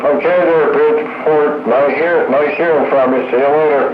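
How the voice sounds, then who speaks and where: A man's voice: on the high side, fairly even intonation, normal speed. A man chatting casually on two-way radio in a hesitant way, repeating himself. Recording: echoey, muffled, noisy radio audio. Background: clear noise.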